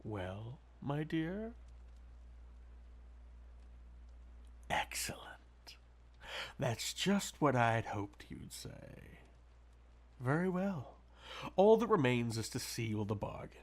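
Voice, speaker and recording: A man performs a centuries-old vampire master for an intimate ASMR roleplay. He speaks very slowly and breathily, slipping into whispers, with wide swings in pitch. His manner is sadistic, possessive and condescending, a soft menace throughout. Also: big pitch swings. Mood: smug.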